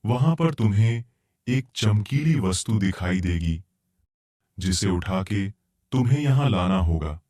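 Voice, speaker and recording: A talking tiger from a cartoon storybook, once a king and now under a curse, voiced by a man. He speaks low, slow and calm, a solemn guide who explains patiently.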